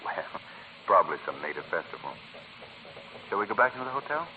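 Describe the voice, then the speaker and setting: An actor playing a calm, amused, wealthy young man about town in 1940s radio style. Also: lowish voice, fast talker. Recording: tinny, noisy 1940s radio recording.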